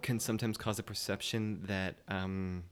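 A man's voice: fairly low pitch, fairly even intonation, normal speed, slight background noise. A man speaking as a playwright guest in a casual panel discussion, earnest and hesitant as he forms his thoughts.

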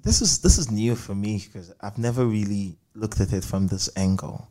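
A man's voice: on the low side, moderate pace, warm tone. A man conducting a conversational interview, reflective, building questions in repeated phrases.

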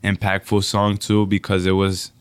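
A young man from the Dominican Republic, a rapper being interviewed, speaking reflectively and haltingly with many fillers. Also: low voice, completely flat delivery, clean tone, dry recording. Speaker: rapper guest. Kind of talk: casual interview.

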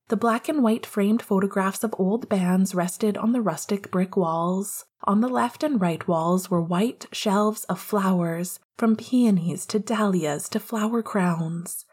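A woman narrates fiction from a script. Her voice sits at a middle pitch with a husky, breathy edge, and she reads in the style of an audiobook. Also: a little mellow.

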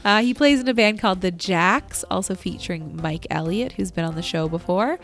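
A woman co-hosting a local radio show, chatting casually and enthusiastically through event shout-outs. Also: on the low side, a little fast, soft background music. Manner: upbeat, enthusiastic.

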